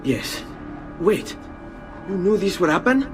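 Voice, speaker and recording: Lively young Italian nobleman. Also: sits high, slow pace, over music, heavily acted. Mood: urgent. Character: cocky young Italian nobleman, protective son and brother. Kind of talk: video game cutscene acting.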